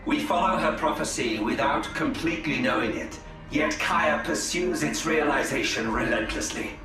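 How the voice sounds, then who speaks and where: Man voicing a silky Eldar schemer, slightly high voice, echoey distant sound, loud music behind. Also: moderate pace, close to an everyday voice. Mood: conspiratorial. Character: cunning, silky male Eldar conspirator.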